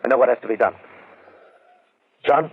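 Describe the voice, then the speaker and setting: Casting: obsessed rich recluse in a radio thriller, mid-pitched, abrupt and brooding, thin narrowband sound. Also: male voice, moderate pace, vocal fry, husky. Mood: obsessed, fervent. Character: wealthy, obsessed, secretive recluse, brooding and touchy. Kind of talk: 1940s-50s radio drama acting. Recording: band-limited old-time radio recording.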